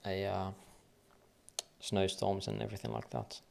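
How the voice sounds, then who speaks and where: A deep-voiced man from Finland with a light Finnish accent and a gravelly, creaky edge. He talks casually through trading charts on a livestream. He thinks aloud and mutters wave counts under his breath. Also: monotone, unhurried, darkish tone.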